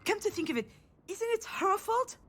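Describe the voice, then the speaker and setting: A wry, self-deprecating woman in a narrative video game. Her delivery swings widely in pitch as she moves between hesitant excuses and sardonic inner commentary. She sounds flustered and quick to mock herself. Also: sing-song, a bit bright, exaggerated voice. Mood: flustered, defensive. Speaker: voice actor playing the lead character. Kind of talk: acted game dialogue with inner-monologue narration.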